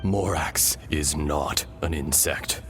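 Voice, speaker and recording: A man voicing the calm, weary, benevolent spirit of an ancient dragon in a video game, speaking in a solemn, measured, poetic way. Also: a bass voice, full character voice. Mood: solemn, firm. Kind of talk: dramatic video game voice acting. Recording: loud game music under the voice.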